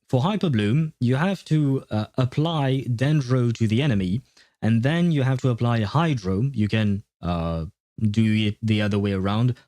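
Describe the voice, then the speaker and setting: A man livestreaming a game, chatting casually with viewers and explaining things step by step with hesitant pauses. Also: medium pitch, warm tone, no echo, studio quality. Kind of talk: casual gaming livestream chat, answering viewer questions.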